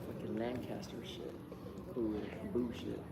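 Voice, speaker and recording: A man's voice: mid-range voice, little pitch movement, measured pace, gravelly. A man in casual chat, rambling and profane, talking about speaking at public meetings. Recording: echoey room, noisy crowd.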